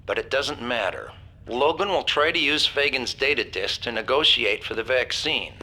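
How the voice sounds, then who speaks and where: An actor playing a calculating, authoritative agency boss in a video game cutscene, speaking in a measured, official way. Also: male voice, medium pitch, animated, bright, forceful tone, exaggerated voice. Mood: assured. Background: game soundtrack music.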